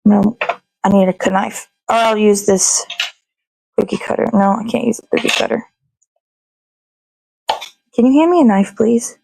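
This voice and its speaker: Creaky-voiced American woman chatting casually. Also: mid-range voice, unhurried, long pauses, a little mellow, slight background noise, low-quality recording. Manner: informal, rambling, sweary. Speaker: host of a morning show. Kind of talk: casual chatty narration while cooking. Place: indoors in a home kitchen.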